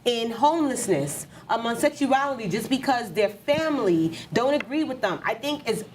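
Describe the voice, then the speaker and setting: A woman in her late thirties argues emphatically on a talk show, her voice somewhat high. She sits back from the mic, with a faint hiss in the room. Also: lively intonation, normal speed, recorded from a distance, low-quality recording. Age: 30s. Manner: emphatic, repeats points. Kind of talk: casual panel discussion.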